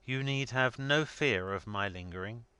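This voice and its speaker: A man playing a dignified young secretary in a story reading, speaking formally with measured restraint. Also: mid-range voice, bright, forceful tone, clean tone, no room sound. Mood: proud, controlled.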